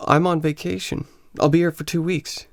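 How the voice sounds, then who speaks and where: An actor with an American accent playing a weary, grumbling English teacher on vacation in a radio play, speaking somewhat hesitantly. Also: male voice, on the high side, level tone, normal speed, gravelly, dry recording, clean studio sound. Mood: casual, hesitant.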